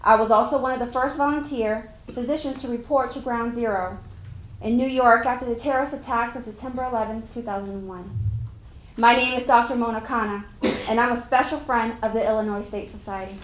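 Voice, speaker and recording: A woman's voice: fairly high pitch, fairly even intonation, fairly quick, natural voice. A woman playing an accomplished physician, formally reciting her life story in a scripted dramatic reading. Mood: formal, proud. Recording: echoey hall stage recording.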